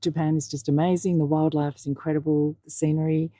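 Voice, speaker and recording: Woman, low and flat, effusive, dry. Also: fast talker, no room sound. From Melbourne, Australia. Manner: warm, effusive.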